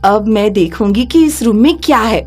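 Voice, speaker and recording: A woman's voice: a little high, lively intonation, moderate pace, a little mellow, faint music behind, voice pushed down, lightly acted. A woman voicing a bold, curious young museum worker in a horror story, impatient and teasing. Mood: excited, curious. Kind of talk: animated horror story character acting.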